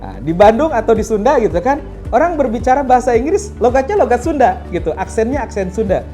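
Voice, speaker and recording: A woman's voice: on the high side, sing-song, fast talker, mellow, faint music behind. A woman speaking in a casual, explanatory way as a school representative in a promotional interview. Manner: casual, explanatory, frequent "nah" and "gitu kan".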